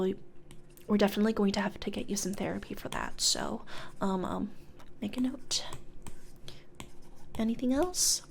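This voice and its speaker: Girl doing a casual evil hr lady from hell, asmr roleplay, soft n close up, kinda hesitant, whispery bits. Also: medium pitch, gravelly. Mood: quietly approving. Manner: hesitant, conversational, often dropping into whispers. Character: blithe corporate recruiter for hell, casually approving of wickedness. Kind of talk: soft-spoken ASMR roleplay as job interviewer. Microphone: close studio condenser microphone.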